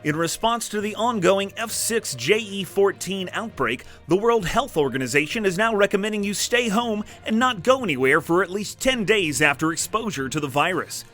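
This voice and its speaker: A man's voice: high-pitched, lively intonation, normal speed, few pauses, a bit bright, faint music behind. A man playing a snide, punchy TV news announcer reading a parody bulletin. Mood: brisk, snide.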